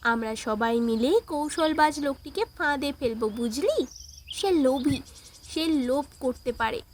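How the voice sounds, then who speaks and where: A woman's voice: high voice, animated, a little slow, a little hiss or hum. A woman voicing a brave, earnest village girl in a fairy-tale cartoon, reassuring and resolute. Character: brave, determined village girl who hunts a thief.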